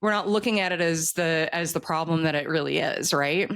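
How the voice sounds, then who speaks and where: Woman w a low voice, dry close sound, chatting in an interview, earnest explainer type. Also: fairly quick, fairly bright tone, no echo. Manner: earnest, explanatory, uses rhetorical questions. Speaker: author being interviewed. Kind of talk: casual conversational interview.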